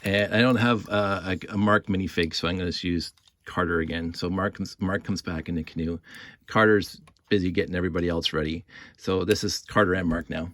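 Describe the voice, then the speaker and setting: A man's voice: deep voice, fairly even intonation, brisk, a bit bright. A man telling a story casually and off the cuff, rambling and repeating his words as he goes. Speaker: storyteller and video host. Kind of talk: casual improvised storytelling with toy props.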